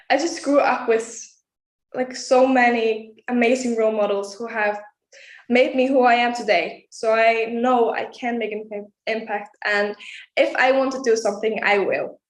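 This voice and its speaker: A woman's voice: fairly high pitch, steady tone. Upbeat eighteen-year-old woman, echoey sound. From Iceland. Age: young adult. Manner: earnest, laughs often, quick and upbeat. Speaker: pageant contestant. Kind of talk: interview answers.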